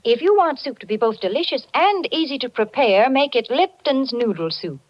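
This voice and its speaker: A woman's voice: high-pitched, expressive, moderate pace, no echo. A woman doing a scripted 1940s radio advertisement as spokeswoman, chipper and persuasive. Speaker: commercial spokeswoman. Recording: muffled 1940s radio recording.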